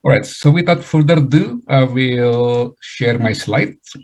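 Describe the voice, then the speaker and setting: A middle-aged man with an Indonesian accent giving a webinar lecture over a video call, halting, with many fillers and restarts. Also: mid-range voice, darkish tone. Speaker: guest speaker, chemical engineering professor.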